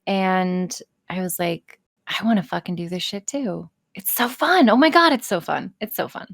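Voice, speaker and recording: Female, lower register, candid and emphatic podcast guest, casual, profane, self-deprecating. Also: normal speed, creaky, no echo, clean studio sound. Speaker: podcast guest, erotic audio role-play performer.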